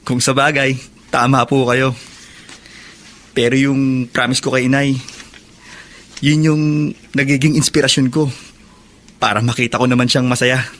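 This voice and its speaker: A man's voice: medium pitch, flat delivery, unhurried, long pauses, a bit bright, natural voice. A man with a Filipino accent acting as an earnest, respectful young prisoner in a radio drama. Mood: humble, hopeful.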